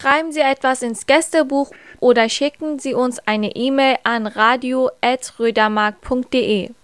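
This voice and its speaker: A woman's voice: high voice, fairly even intonation, measured pace, smooth, no room sound. A young woman co-hosting a community radio show, reading her farewell and the show's announcements in an earnest, scripted way. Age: young adult. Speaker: co-host and reporter.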